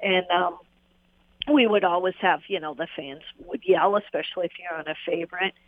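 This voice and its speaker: A woman's voice: on the low side, fairly even intonation, moderate pace. Laughing woman storyteller on a phone line. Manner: rambling, laughs often, many fillers. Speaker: former jockey, guest. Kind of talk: casual storytelling interview.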